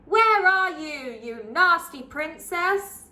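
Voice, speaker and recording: A woman's voice: a little high, sing-song, drawn-out words, sharp tone, heavily acted. A woman voicing a big, greedy male ogre who grumbles and gloats about eating princesses. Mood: panicked.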